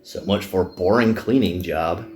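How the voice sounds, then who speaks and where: A man's voice: low-pitched, a little slow, a little mellow, faint music behind. A man reading a reluctant, self-deprecating young male magician recruit from a visual novel, dry and downbeat. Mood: doubtful.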